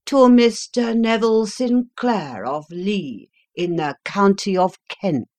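A woman reading the part of a calm, brilliant detective, measured, courteous and composed. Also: lowish voice, no echo, heavily acted. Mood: wry. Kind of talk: audiobook character reading.

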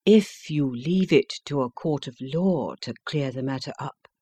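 A woman reading the part of a calm, brilliant detective, measured, courteous and composed. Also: fairly low pitch, no room sound, heavily acted. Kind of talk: audiobook character reading.

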